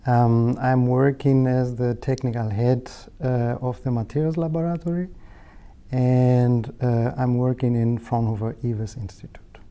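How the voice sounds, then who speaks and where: A man in his thirties with a Greek accent, a native Greek speaker, explaining his job in a hesitant, measured interview style. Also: mid-range voice, steady tone, warm tone, clear, resonant tone. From Greece. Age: 30s. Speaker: technical head of a materials laboratory. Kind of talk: informal career interview monologue. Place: indoor meeting room. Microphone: lapel.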